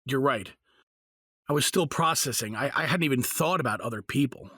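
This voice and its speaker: A man's voice: mid-range voice, normal speed, sharp tone, breathy edge, dry recording, clean studio sound. A man playing a supportive, earnest father in a fantasy audio drama, with a natural conversational delivery. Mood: thoughtful. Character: supportive, argumentative father held in a fantasy jail.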